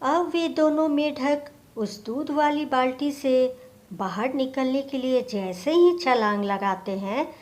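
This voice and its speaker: A woman's voice: high voice, darkish tone. A woman narrating a scripted moral story for children.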